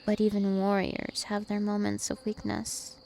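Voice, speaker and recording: A woman's voice: mid-range voice, monotone, unhurried, creaky, dark tone, slight background noise. A woman voicing a dominant, taunting, ancient vampire princess in an ASMR roleplay, with haughty, teasing menace. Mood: seductive coaxing. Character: two-thousand-year-old vampire princess, female, dominant, taunting, imperious.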